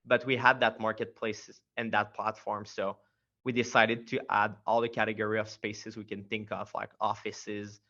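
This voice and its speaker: A man's voice: fairly low pitch, level tone, normal speed, pushed and bright, highs cut off. A man from Quebec with a strong Quebec French accent, a startup founder telling his story in a casual interview, rambling with many fillers and restarts.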